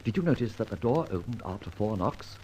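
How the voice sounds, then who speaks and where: An actor playing a dashing, flippant gentleman adventurer in an old-time radio detective drama, with a theatrical, wry and wordy manner. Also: lowish voice, big pitch swings, a little fast, background noise. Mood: brisk, confident.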